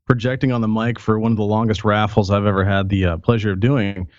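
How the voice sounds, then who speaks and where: An easygoing man chats as a guest in a low voice that is still recovering from a sore throat. He comes through a dull, muffled internet call with a dry sound and no room echo. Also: fast talker. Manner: relaxed, easygoing, filler words. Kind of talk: casual chat.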